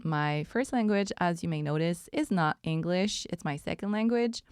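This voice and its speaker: A woman in her thirties from Montreal, a native French speaker with a French Canadian accent, hosting a reflective solo podcast in a musing, unhurried way. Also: on the low side, smooth, no echo, studio quality. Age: 30s. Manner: unhurried, musing, with many ums and soft laughs. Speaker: podcast host.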